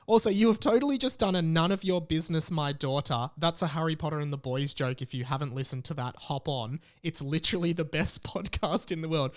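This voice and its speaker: Higher-voiced Australian man, casual podcast host. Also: brisk, hardly any pauses. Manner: rambling, interrupts his own reading with asides. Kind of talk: casual chat, reading out listener messages.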